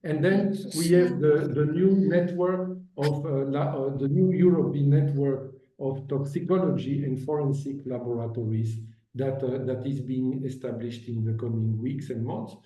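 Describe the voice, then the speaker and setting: A man delivers formal remarks at a virtual conference, pausing often and restarting his phrases. His voice is very dark and mellow. It comes through dull and roomy, as if picked up from a distance. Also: medium pitch, fairly even intonation. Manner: halting, many fillers and restarts. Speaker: agency director giving a presentation.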